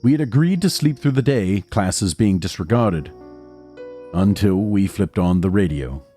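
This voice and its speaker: An actor playing a somber narrator who recounts his past in a measured, literary horror audio drama. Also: low voice, expressive, dark tone. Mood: grave, reflective. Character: somber male narrator recalling his gruesome experiments. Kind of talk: scripted first-person horror narration. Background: music.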